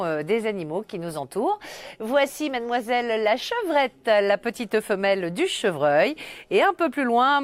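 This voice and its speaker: A woman presenting viewers' photos in a cheerful, chatty, playful way on live TV. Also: medium pitch. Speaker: TV show contributor reading viewer photos. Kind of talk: lively live TV chat.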